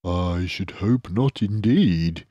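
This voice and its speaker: Man doing a very slow, gentle, mellow old-fashioned badger. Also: deep voice, animated, no echo, highs cut off, full character voice. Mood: earnest. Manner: hesitant, deliberate, bumbling. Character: gentle, anxious, respectable male badger, loyal and slow.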